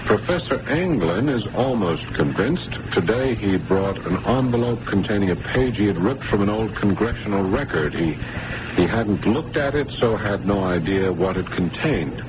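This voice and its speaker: A man acting in a 1980s radio drama as an earnest doctor who researches the paranormal and gets halting and rattled. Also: lowish voice, lively intonation, lightly acted. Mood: businesslike. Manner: halting, stammering under pressure. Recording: noisy old radio broadcast recording.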